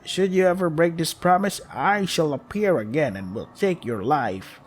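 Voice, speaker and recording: Slow, menacing inhuman monster, unhurried and solemn, put on by a male narrator. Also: a little high, expressive, voice pushed up.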